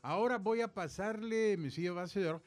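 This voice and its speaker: A man's voice: high voice, normal speed. A man with a Salvadoran accent hosting a radio show with casual, chatty banter. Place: radio studio.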